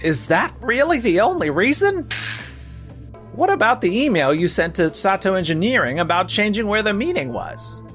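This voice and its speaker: A man plays a stern corporate manager in a manga-style drama dub, with a fairly high voice and lively, swinging intonation. He is exacting and reproachful, pressing his point over background music. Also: moderate pace. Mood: probing. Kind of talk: scripted manga dub voice acting.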